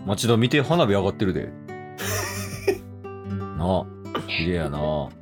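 A man's voice: deep voice, big pitch swings, a little slow, over music. A man playing an earnest, smitten teenage boy with a Kansai accent in an amateur radio drama. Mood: soft, admiring.

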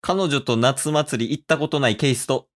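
A man's voice: mid-range voice, slight background noise. A man with a Kansai accent co-hosting a comedy radio show, chatting casually and butting in with mock complaints. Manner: quick to butt in with mock complaints.

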